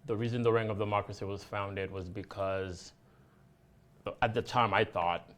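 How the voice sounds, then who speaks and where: Earnest Haitian-born man, halting speech. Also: on the low side, steady tone, fairly bright tone. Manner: earnest, halting with many fillers and restarts. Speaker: nonprofit activist guest. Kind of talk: casual interview chat.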